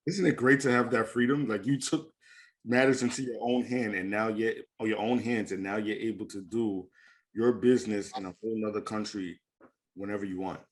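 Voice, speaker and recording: Low-voiced male interview host. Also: steady tone, fairly quick. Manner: friendly, encouraging interviewer who asks follow-up questions. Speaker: podcast host. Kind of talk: casual interview conversation.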